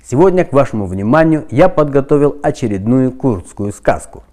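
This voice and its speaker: A man's voice: medium pitch, animated, moderate pace, dark tone. A man giving a short scripted introduction as the host of a storytelling channel.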